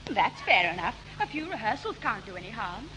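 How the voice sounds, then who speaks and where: A woman's voice: mid-range voice, very animated, fairly quick, sharp tone. A woman playing a chirpy, teasing young daughter with an English accent in a 1960s British radio sitcom. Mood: encouraging. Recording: noisy old 1960s radio broadcast.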